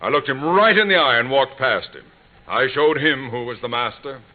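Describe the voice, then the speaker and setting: Radio sitcom husband, played by a man: stubborn, self-important, lively swooping delivery, thin old broadcast sound. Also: medium pitch, moderate pace, natural voice. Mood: blustering. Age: middle-aged. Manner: hesitant, blustering, self-important. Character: proud, stubborn bumbling middle-aged husband and amateur gardener. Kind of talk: 1950s radio sitcom acting. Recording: tinny vintage radio broadcast recording.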